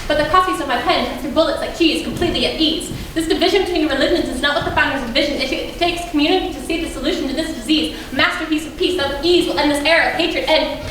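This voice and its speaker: A woman's voice: high-pitched, quick pace, lightly acted. A young woman playing an earnest, nervous teenage peacemaker in an amateur school play. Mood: impassioned. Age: teens. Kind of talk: amateur school stage acting. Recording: very echoey stage, distant mic, noisy.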